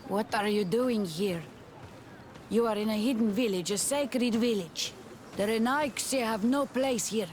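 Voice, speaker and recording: A woman's voice: fairly high pitch, measured pace, darkish tone, a little hiss or hum, natural voice. A woman playing a wary, brusque villager of a fantasy island clan in a video game. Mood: curt, suspicious.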